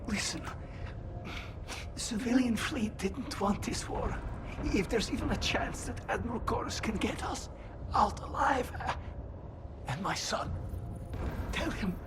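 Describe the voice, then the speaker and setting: An actor playing a gentle, desperate alien maintenance worker who is wounded and speaks in halting, broken phrases. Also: male voice, extremely high pitch, background noise. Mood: pleading, fading. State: wounded, weak, faltering. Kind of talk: dramatic game acting.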